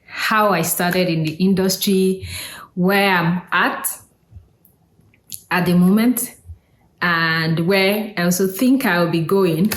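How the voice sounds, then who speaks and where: A woman's voice: on the low side, echoey room. A woman from Nigeria, hosting a casual solo talk in a conversational way, with fillers and asides. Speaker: host.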